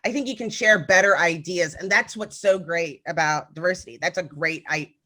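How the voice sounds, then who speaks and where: A woman's voice: on the low side, moderate pace, bright, forceful tone. A woman co-hosting a podcast, chatting casually and drifting into stories with frequent ums. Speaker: podcast co-host. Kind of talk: casual conversation.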